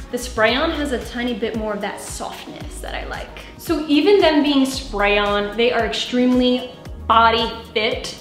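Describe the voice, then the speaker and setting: Chatty woman vlogger in an echoey room. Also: fairly high pitch. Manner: chatty, playful and expressive, moving and posing while talking. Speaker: fashion vlogger. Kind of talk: try-on haul review. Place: bright room at home with clothing rack.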